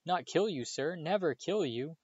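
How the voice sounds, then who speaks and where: A man doing a tiny, trembling male house elf from a storybook reading, squeaky and high, begging and fretting and tacking sir onto everything. His pitch leaps about nervously. The sound is slightly dull and dry. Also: normal speed, darkish tone, no room sound, close to an everyday voice. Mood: pleading. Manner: squeaky, pleading, keeps saying sir. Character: small frightened male house elf, servile, tearful.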